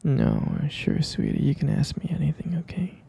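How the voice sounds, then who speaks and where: A man voicing an obsessive, sweetly possessive kidnapper in an ASMR roleplay, talking in a soft, clingy, soothing whisper that keeps insisting. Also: a little high, huge pitch swings, normal speed, creaky, exaggerated voice. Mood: coaxing.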